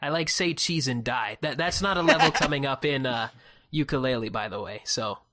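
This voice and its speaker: A man's voice: mid-range voice, sharp tone. Joking man as podcast guest. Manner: joking, quick to laugh. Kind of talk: casual podcast chat.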